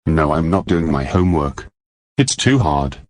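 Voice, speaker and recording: Bratty boy voiced by a man, lively swings in tone, dry close sound. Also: rumbling low, a little slow, no echo. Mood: defiant. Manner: defiant, sulky, swearing. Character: spoiled foul-mouthed bratty boy.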